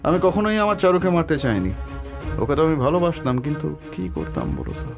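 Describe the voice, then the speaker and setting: A man acting an intense, fast-talking obsessive villain. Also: a little high, wildly swooping pitch, vocal fry, music in the background, heavily acted. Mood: deranged, remorseful. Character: obsessive, vengeful young servant man, unhinged jilted lover. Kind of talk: melodramatic cartoon horror-story acting.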